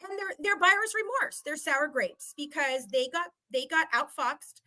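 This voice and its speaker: Bright, high-voiced emphatic woman. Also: animated, measured pace. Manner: emphatic, combative, repeats phrases for emphasis. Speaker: guest. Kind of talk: heated casual live-stream commentary.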